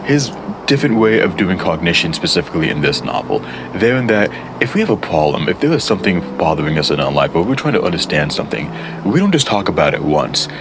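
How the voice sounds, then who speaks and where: A man giving a casual book review to camera, rambling with lots of fillers and restarts, his voice worn low from a day of talking. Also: noisy background. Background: guitar music.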